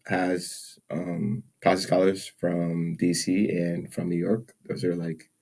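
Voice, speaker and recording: A young man born in Nigeria, co-hosting a podcast in relaxed casual chat with frequent fillers and laughs. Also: sits low, slow, gravelly. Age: young adult. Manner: laid-back, laughs often, many "like" fillers. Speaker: podcast co-host. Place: room, seated in chairs.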